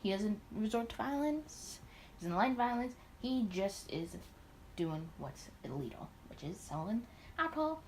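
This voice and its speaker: A woman's voice: mid-range voice, sing-song, a little slow, slight background noise, rough recording. A woman giving casual playthrough commentary on a game and reading its text aloud. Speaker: gaming video host.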